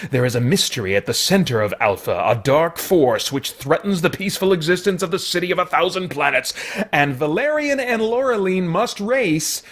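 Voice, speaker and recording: A man hosting a casual spoken movie review, rambling along with jokey, animated asides. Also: a little high, normal speed. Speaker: film reviewer and host.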